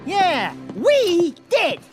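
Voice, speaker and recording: A small, boastful male animal sidekick in a video game. His high, nasal voice is brash and animated, drawing out words for comic effect over music. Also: extremely slow, full character voice. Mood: excited. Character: cocky, wisecracking little male animal sidekick, boastful and excitable. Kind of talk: cartoon video game comedy acting.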